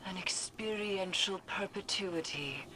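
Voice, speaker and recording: A woman playing a grave, brooding occult expert in a video game, speaking in a solemn, ominous, deliberate way. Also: mid-range voice, pushed and bright, a little hiss or hum, rough recording. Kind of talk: scripted video game voice acting.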